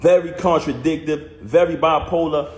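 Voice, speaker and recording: A man's voice: a little high, moderate pace. A man telling viewers a personal story in casual, heated first person, emphatic and full of street slang. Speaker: vlogger recounting a personal conflict. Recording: roomy echo.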